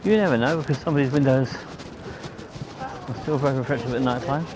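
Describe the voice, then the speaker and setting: A man with a London accent giving casual, rambling, low-key commentary as he films a walk outdoors. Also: fairly quick. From London, England. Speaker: amateur photographer, vlogger. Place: outdoors on a riverside walkway. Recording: outdoor city noise behind voice.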